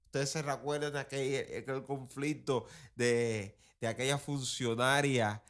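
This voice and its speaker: A man with a mid-pitched voice hosts a commentary show. He talks colloquially and critically, like a teacher sharing opinions. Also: steady tone, measured pace, a bit bright. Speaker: podcast host and teacher.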